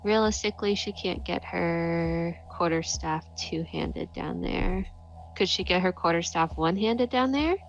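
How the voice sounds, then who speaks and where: Low-pitched woman, slightly muffled, playing tabletop. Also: unhurried, vocal fry. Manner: informal, sweary. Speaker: player in a tabletop role-playing game. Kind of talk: casual in-game description of her character. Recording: dull lo-fi sound, faint music behind.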